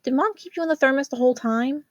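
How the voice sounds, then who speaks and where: A woman's voice: high voice, fast talker, no echo, dull audio, pitched above the performer's own voice, natural voice. A woman voicing a worried, exasperated teenage older sister in a story, chiding and emphatic. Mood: insistent.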